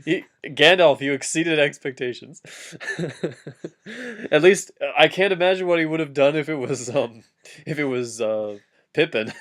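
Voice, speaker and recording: A man's voice: fairly high pitch, lively intonation, unhurried, fairly bright tone. A man doing a comic dramatic reading of fan fiction, giggly and often breaking off to heckle the text with asides.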